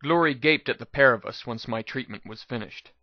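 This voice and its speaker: A man narrates a first-person story as a dramatic reading. His voice has a middle pitch, and the recording is very dry and slightly dull. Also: fairly quick, no echo. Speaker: narrator, reader.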